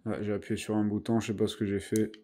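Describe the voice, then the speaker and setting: Male reviewer, soft dark timbre, informal and self-deprecating, chatting through a hands-on demo with the odd mild swear. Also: fairly low pitch, level tone, fast. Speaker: product reviewer. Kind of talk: casual hands-on review chat.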